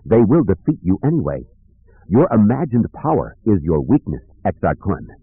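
A man's voice: fairly low pitch, big pitch swings, moderate pace, breathy edge, dry recording, narrow, tinny audio, exaggerated voice. Man playing a confident, defiant Jedi master. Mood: confident defiance.

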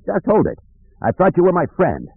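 A man's voice: lowish voice, big pitch swings, moderate pace, breathy edge, no echo, phone-quality sound, full character voice. A man voicing an urgent, loyal starship pilot with an emphatic, pleading manner in an audiobook.

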